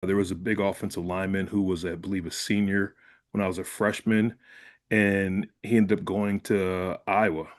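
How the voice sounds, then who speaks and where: A man in his fifties from Ohio, a former college fullback, telling stories in a relaxed, reflective way as a guest in an interview. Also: sits low, moderate pace. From Columbus, Ohio. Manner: relaxed, reflective storyteller with frequent "like". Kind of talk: casual interview storytelling.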